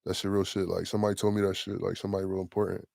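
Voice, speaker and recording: A young man in his twenties, a rapper with a deep voice, talking casually and loosely in an interview. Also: level tone, gravelly, warm tone, dry recording, clean studio sound. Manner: laid-back, slangy, drawn-out affirmations. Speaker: rapper, guest.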